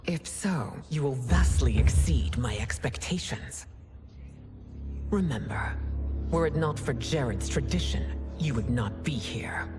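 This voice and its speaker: Regal, imperious empress, slow and expressive. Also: female voice, very deep voice, music in the background. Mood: stern, disdainful. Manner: formal, measured, commanding. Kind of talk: cinematic video game acting.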